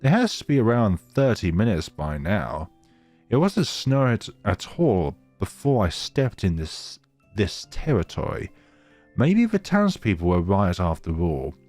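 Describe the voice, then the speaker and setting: Male let's player, lowish voice, highly expressive dramatic read with offhand commentary, slightly roomy sound over faint music. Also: a little slow. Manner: dramatic reading with casual asides. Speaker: gaming channel host. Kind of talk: let's play, reading visual novel text aloud with commentary.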